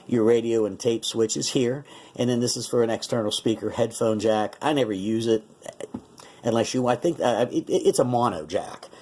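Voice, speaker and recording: A man with a mid-pitched voice talks through a product demonstration in a casual, conversational way. He explains features step by step as a reviewer would, stopping to correct himself along the way. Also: normal speed, warm tone. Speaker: radio reviewer.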